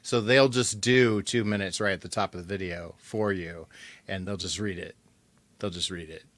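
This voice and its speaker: A man's voice: low voice, fairly even intonation, moderate pace, fairly bright tone, no echo. A man co-hosting a podcast with casual, joking banter. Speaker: podcast co-host.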